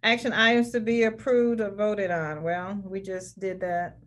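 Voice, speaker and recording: Woman chairing a board meeting on a video call, friendly and brisk, normal voice. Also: medium pitch, flat delivery.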